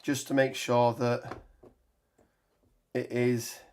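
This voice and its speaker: Hoarse man streaming casually. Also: mid-range voice, completely flat delivery, drawn-out words, a little mellow. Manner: rambling, many ums and restarts, reads chat aloud. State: hoarse with a cold. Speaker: tabletop gaming streamer. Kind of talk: casual live stream chat while building terrain.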